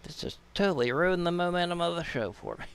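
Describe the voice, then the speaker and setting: A man's voice: sits high, quick pace, fairly bright tone, smooth. A man hosting a live stream with casual commentary, informally muttering his way through things aloud.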